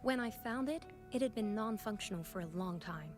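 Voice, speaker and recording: A woman's voice: mid-range voice, expressive, fairly quick, lightly acted. A woman voicing a curious, persistent researcher in a video game, recounting what she knows in a thoughtful way. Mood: matter-of-fact. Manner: thoughtful storytelling. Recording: game dialogue over clear music.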